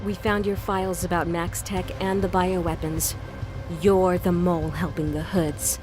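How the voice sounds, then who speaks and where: A woman's voice: lowish voice, flat delivery, measured pace, recorded from a distance, natural voice. A woman playing a determined police inspector who narrates her case in an audio crime drama. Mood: serious, urgent. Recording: music behind the voice.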